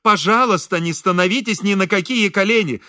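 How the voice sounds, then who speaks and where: A man's voice: high-pitched, normal speed, pushed and bright, clear, resonant tone, pitched above the performer's own voice. A man voicing a stern, businesslike wife who scolds curtly in a comic audiobook. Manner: curt, scolding, commanding. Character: stern, businesslike engineer's wife snapping at husband and guest. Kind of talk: audiobook character acting.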